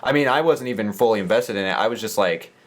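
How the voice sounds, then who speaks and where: A man's voice: fairly low pitch, expressive, quick pace. A man talking casually as a podcast guest, easygoing and often with a light laugh in his words.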